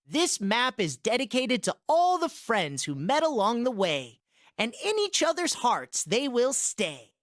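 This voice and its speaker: A woman's voice: a little high, huge pitch swings, measured pace, no room sound, clean studio sound. A woman giving scripted rhyming storybook narration in a sing-song, bouncy way.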